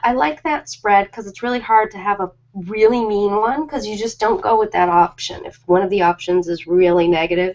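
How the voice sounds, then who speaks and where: A woman's voice: medium pitch, darkish tone. A woman chatting casually and informally on a live stream, ad-libbing in a rambling, self-deprecating way. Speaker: live-stream host of ASMR and ritual videos. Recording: slightly dull lo-fi live-stream audio.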